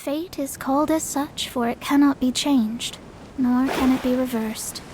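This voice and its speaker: An actress voicing a solemn female figure who speaks of fate in slow, grave pronouncements. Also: high-pitched, background noise.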